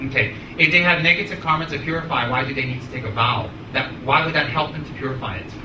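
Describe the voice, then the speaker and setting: Male dharma teacher, American accent, emphatic phrasing, echoey room with clear background noise. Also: mid-range voice, distant mic. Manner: emphatic, deliberate, short repeated phrases. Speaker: Buddhist teacher. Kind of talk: spoken teaching with questions to students.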